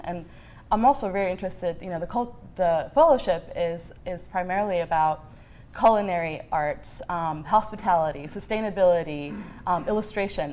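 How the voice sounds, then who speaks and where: A woman's voice: on the low side, a little mellow. A Sudanese American woman from Sudan answering interview questions conversationally as a guest. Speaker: fellowship guest, marketing professional.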